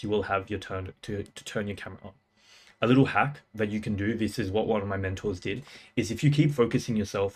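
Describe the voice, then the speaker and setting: A young man giving casual, confident sales-career advice in a punchy, slangy way. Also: fairly low pitch. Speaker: music producer and sales coach. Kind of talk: casual talking-head advice.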